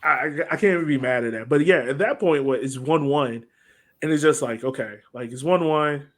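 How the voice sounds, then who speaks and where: A man's voice: mid-range voice, a little fast. A man co-hosting a sports podcast, breaking down a soccer match in an informal way, thinking aloud as he goes.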